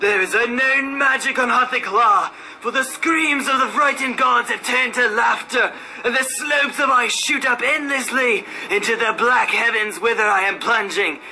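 Frenzied, high-pitched shouting prophet. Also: male voice, normal speed, heavily acted. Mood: frenzied awe. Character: exultant wild old prophet, shrieking from ecstasy into terror. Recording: noisy radio station room.

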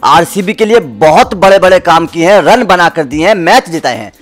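A man in his thirties argues his view as a cricket analyst on a studio panel. His voice runs high, and he builds his case by listing examples, with faint music behind. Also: animated, normal speed, a bit bright. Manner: makes points by listing examples, gestures while talking. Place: indoor TV studio set.

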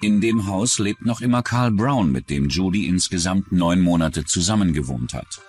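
A man's voice: deep voice, lively intonation, normal speed, soft background music. A man reading scripted true-crime documentary narration as the narrator.

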